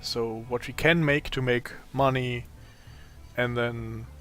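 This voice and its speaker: A man with a raspy, tired voice giving casual gameplay commentary, thinking aloud as he plays. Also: medium pitch, fairly even intonation, fairly bright tone, soft background music. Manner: slow, thinking aloud while playing. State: voice strained from a long day of talking.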